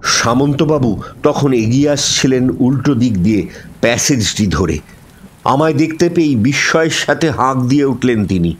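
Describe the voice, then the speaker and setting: A man narrating a scripted ghost story in a dramatic, suspenseful style with measured phrasing. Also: medium pitch, expressive, slight background noise. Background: instrumental music.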